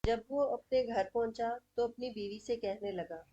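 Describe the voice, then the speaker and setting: A woman's voice: on the high side, normal speed, mellow, slightly rough, dull audio. A woman telling a scripted moral story as narrator of a cartoon.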